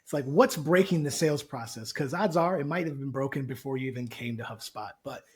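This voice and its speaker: A man's voice: medium pitch, a little fast. A man hosting a casual webinar, upbeat, loose and jokey, speaking over a video call. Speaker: webinar host, co-founder.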